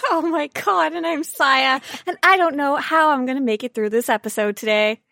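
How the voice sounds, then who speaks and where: Female co-host, slightly high voice, amused casual explainer, recorded dry and close. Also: moderate pace, gravelly, pushed and bright, dry recording. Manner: lighthearted, amused. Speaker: podcast co-host. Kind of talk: casual explanatory podcast chat.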